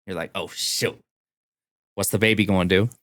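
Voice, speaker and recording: A man's voice: low-pitched, fairly even intonation, normal speed, a bit bright, no room sound, clean studio sound. A man co-hosting a casual gaming podcast, telling stories in an informal, enthusiastic way. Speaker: podcast co-host. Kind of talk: casual chat about video games.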